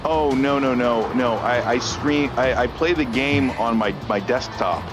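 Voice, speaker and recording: A man's voice: mid-range voice, unhurried, music in the background. A man chatting casually as a livestreamer, rambling and self-deprecating, with an everyday conversational delivery.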